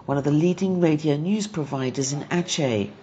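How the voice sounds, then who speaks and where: A woman's voice: low voice, normal speed, mellow, a little hiss or hum. A woman reading scripted documentary narration in a news report style. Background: background music.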